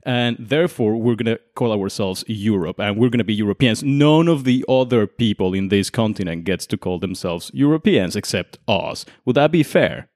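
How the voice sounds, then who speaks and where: A young man from Mexico hosting a conversational explainer, making his case with lively rhetorical questions. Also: fairly low pitch, normal speed, hardly any pauses, no room sound, clean studio sound. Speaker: podcast host.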